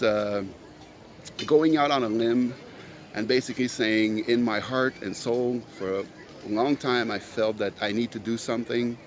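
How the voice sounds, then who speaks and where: A man's voice: on the low side, a little hiss or hum. A man whose first language is French, hosting his own podcast in an earnest, rambling solo monologue full of fillers. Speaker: podcast host.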